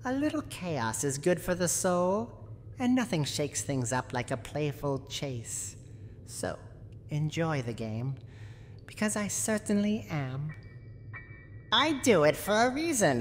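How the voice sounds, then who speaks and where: A woman voicing a menacing, proud, cranky old granny in a theatrical, deliberate ASMR roleplay monologue. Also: sits low, big pitch swings, a bit bright, big-room sound, a little hiss or hum, full character voice. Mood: playful menace, then grudgingly fond. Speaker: roleplay voice actor.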